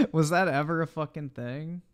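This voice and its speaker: A man's voice: fairly high pitch, sing-song, takes it slowly. A man livestreaming casual reaction commentary in a blunt, profane manner.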